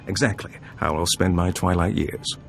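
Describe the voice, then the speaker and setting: An actor playing a suave older gambler and information broker in a video game, smooth-talking, theatrical and pleased with himself. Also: male voice, low voice, steady tone, moderate pace, a little hiss or hum. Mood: smug charm. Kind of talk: video game dialogue acting.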